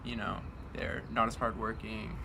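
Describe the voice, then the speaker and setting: A man's voice: fairly low pitch, fairly even intonation, moderate pace, creaky, background noise. A man in his twenties speaking casually and thoughtfully about his path through college. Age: 20s. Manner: thoughtful, loose, with "like" and "you know". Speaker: interviewee. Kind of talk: casual reflective talk.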